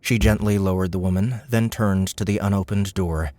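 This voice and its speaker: A man's voice: low-pitched, fairly even intonation, normal speed, a little mellow, dry recording. A man narrating scripted fiction as an audiobook narrator.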